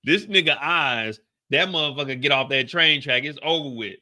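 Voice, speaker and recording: A man's voice: on the high side, moderate pace, pushed and bright. A man giving casual, slangy reaction commentary on a TV episode. He talks to the screen with a mild stutter. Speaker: reaction video host.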